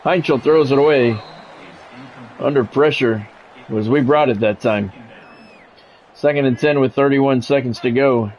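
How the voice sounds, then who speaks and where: A man's voice: medium pitch, measured pace, long pauses, highs cut off. A man streaming a football video game, mixing sports play-by-play with casual, salty chat to viewers and swearing freely.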